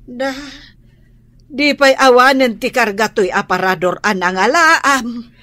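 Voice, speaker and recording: A woman's voice: on the high side, unhurried, pushed and bright, no echo. A woman playing a blunt, nosy older neighbour in an Ilocano radio drama, with an Ilocano accent. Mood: indignant.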